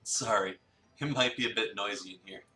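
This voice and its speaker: A man's voice: fairly low pitch, a little fast, pushed and bright. A man voicing a polite, easygoing handyman from a cafe visual novel. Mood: mild, apologetic. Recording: echoey room, distant mic.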